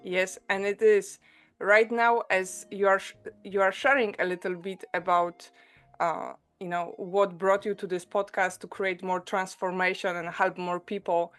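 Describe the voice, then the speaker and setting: A woman hosts an interview podcast, speaking very slowly and gently as she welcomes her guest. Faint music sits underneath her voice. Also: medium pitch, flat delivery, smooth. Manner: gentle and unhurried, warmly welcoming. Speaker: podcast host. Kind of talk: interview hosting.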